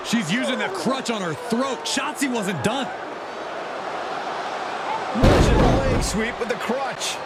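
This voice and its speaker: A man playing an excited TV wrestling commentator, calling the action live in a video game. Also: extremely high pitch, takes it slowly, long pauses, a loud crowd, heavy background noise. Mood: excited, urgent. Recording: loud music and arena thuds behind.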